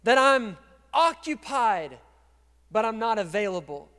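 A man in his thirties preaching a sermon as pastor on a church stage, speaking into a handheld mic and swinging from quiet to loud. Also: high voice, animated, measured pace. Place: large dark church stage. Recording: long reverb of a large church hall.

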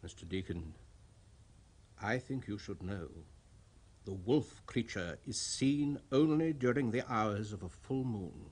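An actor playing a learned, hesitant village professor obsessed with werewolves, husky-voiced, in a 1970s radio horror play. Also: male voice, lowish voice, lively intonation, a little slow, darkish tone. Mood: ominous. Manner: hesitant, scholarly, stammering at times.

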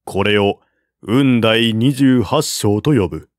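A man narrating a classical war novel as an audiobook, in a measured, even storytelling manner. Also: on the low side, no room sound, studio quality.

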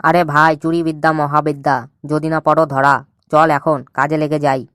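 A man voicing a sly, bossy jackal egg thief who talks smoothly and schemes in an animated cartoon. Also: fairly high pitch, brisk, dry recording, studio quality, lightly acted. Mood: scheming. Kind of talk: cartoon voice acting.

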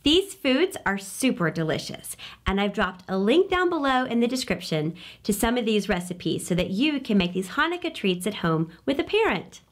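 A middle-aged woman hosting a children's read-aloud, speaking expressively and emphatically with dramatic pauses. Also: medium pitch, very animated. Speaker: children's storytime host.

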